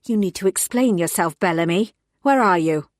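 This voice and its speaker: A woman voicing a stern female police superior who talks in curt, sharp demands. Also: on the low side, lively intonation, moderate pace, no echo, studio quality, natural voice. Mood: angry. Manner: snapping, sharp.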